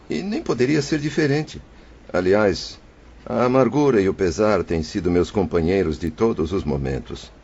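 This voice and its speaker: A man's voice: fairly low pitch, darkish tone, muffled recording, a little hiss or hum. A man playing a remorseful, humble spirit in an audio drama, speaking formally and with sorrow. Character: remorseful, embittered but humble male spirit under instruction.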